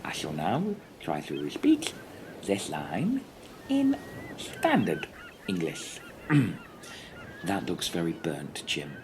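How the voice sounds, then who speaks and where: Male actor as hesitant castaway, low, slow, repeats himself, comic radio play, noisy behind. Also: huge pitch swings, heavily acted. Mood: halting. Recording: noisy outdoor ambience with birds.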